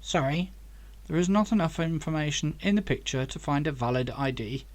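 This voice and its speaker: Guy doing a professor computer in a sci-fi audiobook, helpful, just the facts, slightly high male voice. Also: lively intonation, moderate pace, lightly acted. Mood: matter-of-fact. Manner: helpful, informative, matter-of-fact. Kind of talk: audiobook character dialogue.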